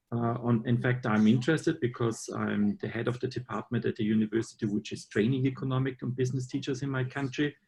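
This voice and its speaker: Man from Austria, university department head, husky voice, skeptical meeting contributor. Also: medium pitch, darkish tone, poor audio. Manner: measured, skeptical, frequent restarts. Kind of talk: video meeting discussion.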